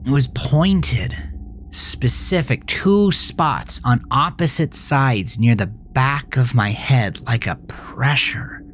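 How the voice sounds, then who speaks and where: A man playing a troubled teenage boy who narrates his own horror story in a brooding, confessional way, often dropping to a hushed murmur. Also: medium pitch, slow pace, a little hiss or hum. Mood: uneasy, pained. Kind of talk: dramatic first-person horror audio narration. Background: music.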